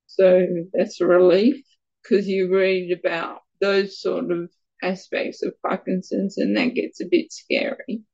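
A woman speaks at a very unhurried pace, her voice quiet and gravelly. She halts and restarts now and then as she answers. The sound is dull and lo-fi. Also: mid-range voice, flat delivery. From Australia. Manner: halting, stumbles a little over words. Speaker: guest with Parkinson's. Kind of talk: casual interview answers.

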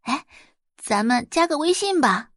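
Lady doing a chatty high school girl, eager and friendly, lively pitch swings. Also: unhurried, no room sound, studio quality, exaggerated voice. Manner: perky, eager. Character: chatty, friendly, baby-faced teenage schoolgirl.

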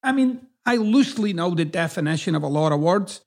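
A man's voice: high-pitched, expressive, fairly quick. A middle-aged man from Scotland with a partly Americanized Scottish accent, hosting a podcast in a blunt, emphatic monologue full of swearing. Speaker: podcast host. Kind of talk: casual monologue.

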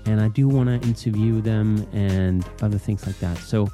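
A man's voice: on the low side, steady tone, normal speed, dark tone, faint music behind. A man with a Danish accent that leans American, casually hosting a solo podcast in an informal, playful, rambling way. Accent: Danish with American leanings. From Denmark. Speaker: podcast host.

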